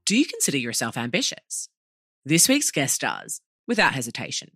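A woman's voice: very deep voice, fairly bright tone, no echo, studio quality. A woman hosting a podcast, speaking in an upbeat, emphatic way straight to her listeners. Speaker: podcast host. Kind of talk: scripted podcast introduction and interview hosting.

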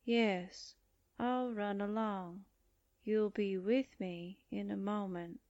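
A woman's voice: medium pitch, steady tone, a little mellow, highs cut off. An actress playing a hypnotized young newspaperwoman in a 1940s-style radio drama, speaking blankly and obediently as if in a trance. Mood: blank, detached. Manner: very slow, blank, trance-like, echoing commands.

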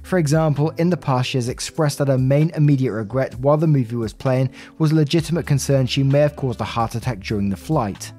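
A man reading a written story aloud as a podcast host, with expressive delivery and brief asides. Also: medium pitch, fairly quick, few pauses.

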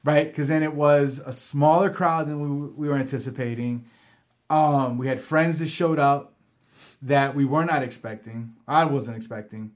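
A man co-hosting a podcast in casual chat, relaxed and rambling with many fillers and restarts. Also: mid-range voice, moderate pace. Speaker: podcast co-host.

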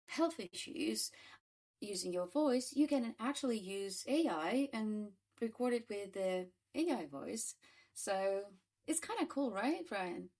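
A woman's voice: mid-range voice, darkish tone. A woman hosting a podcast interview, chatting casually with fillers and restarts. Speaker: podcast host.

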